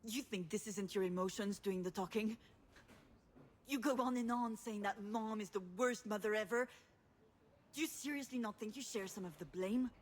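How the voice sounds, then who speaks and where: A woman's voice: medium pitch, normal speed, natural voice. An actress playing a headstrong, combative and loyal adult woman, speaking bluntly and insistently in a naturalistic video game drama. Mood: angry, accusing.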